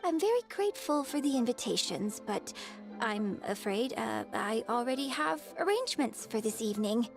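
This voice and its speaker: A woman's voice: fairly high pitch, big pitch swings, moderate pace, gravelly, soft background music. A woman playing a gracious, refined young opera star in a video game, speaking politely and formally. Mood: politely apologetic.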